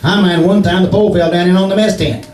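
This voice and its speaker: A man's voice: high voice, fairly even intonation, quick pace, a little mellow, lightly acted. Heard from far off on an echoey stage, a man plays a folksy, blustering husband in an old-time radio comedy. He rambles along cheerfully, mangling words and tossing out wisecracks. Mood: teasing, folksy. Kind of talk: 1940s radio comedy acting. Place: live stage in arts center. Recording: distant, echoey stage recording.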